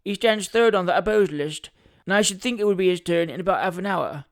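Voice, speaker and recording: A man's voice: sits high, fairly quick, gravelly. A man voicing a pompous, boastful small-time attorney who likes a drink, with hesitant mock modesty, in a comic Victorian audiobook. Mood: businesslike. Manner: self-important, hesitating mock modesty, flowery politeness.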